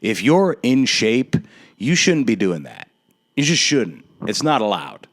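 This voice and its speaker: A man in his twenties hosting a solo podcast, ranting in a sardonic, drawn-out, emphatic way. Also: medium pitch, very animated, no echo, clean studio sound. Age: 20s. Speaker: podcast host.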